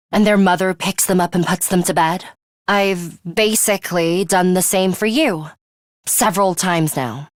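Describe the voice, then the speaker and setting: A woman playing a serious, composed young female fighter in a video game, dryly scolding and sharp-tongued but caring. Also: on the low side, no room sound, studio quality. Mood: weary scolding. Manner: measured, stern, dryly scolding.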